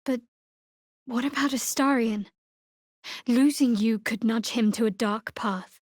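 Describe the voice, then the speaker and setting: A guarded young woman cleric who serves a dark goddess. Her voice sits a little high, with a wry, sardonic edge to the delivery. The recording is very dry, as game dialogue with no room around it. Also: measured pace, dry recording. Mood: tender, confiding. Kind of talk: video game character voice lines.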